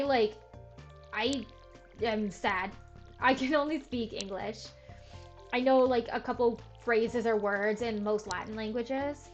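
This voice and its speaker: Young woman, chatty, lots of fillers, self-deprecating, slightly muffled recording, soft game music underneath. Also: fairly high pitch. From Canada. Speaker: gaming video host. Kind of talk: casual chat while playing a farming game.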